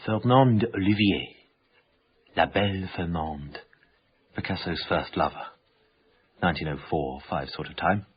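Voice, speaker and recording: A man's voice: sits low, unhurried, pauses often, husky. A man playing a devious, learned art expert, speaking in savouring, clipped fragments in a radio drama. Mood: absorbed, sly. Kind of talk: naturalistic radio drama acting. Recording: dull, muffled radio broadcast.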